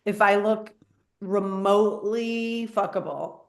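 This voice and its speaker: A woman's voice: mid-range voice, slow pace, roomy sound. A woman in her thirties, a comedian chatting casually on a podcast, self-mocking and acting out little voices in her stories. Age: 30s. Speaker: comedian guest.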